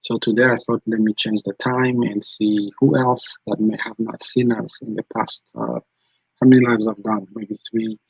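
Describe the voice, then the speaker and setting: A man's voice: on the low side, flat delivery, moderate pace, gravelly, husky, no echo, low-quality recording. A man from Rwanda, hosting a live stream, chatting casually and answering viewers' comments as they come in. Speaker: channel host.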